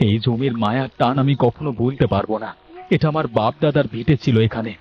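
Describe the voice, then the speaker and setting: A man's voice: medium pitch, quick pace, highs cut off, lightly acted. A man voicing an earnest younger village brother in a Bangla cartoon, with a plain-spoken delivery. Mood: wistful.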